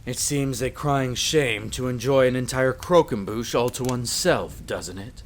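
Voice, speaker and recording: A man performs a gracious gentleman of a vampire castle in a mid-range voice. His phrasing is formal, courteous and old-fashioned. It is a roleplay audio drama, with some noise behind him. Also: mid-range voice, a little slow, lightly acted. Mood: pleased, gracious. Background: ambience, crackling fire.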